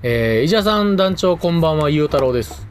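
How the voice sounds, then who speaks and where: A man's voice: fairly high pitch, lively intonation, quick pace. A man with a Kansai Japanese accent co-hosting a casual radio show, chatting and reading out listener mail. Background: background music.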